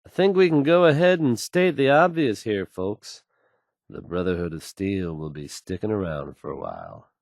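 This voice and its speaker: Casting: smooth wasteland radio host, lower register, dry sound, confident wry patter between songs. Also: male voice, very animated, normal speed, a little mellow, no room sound. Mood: wry, upbeat. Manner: folksy, wry, addresses listeners as folks. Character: confident smooth-talking male post-apocalyptic radio DJ.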